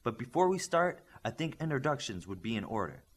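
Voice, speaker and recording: A man's voice: mid-range voice, sing-song, brisk, breathy edge. A man voicing a poised young female teacher in audiobook dialogue, formal, precise and self-assured. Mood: poised, polite.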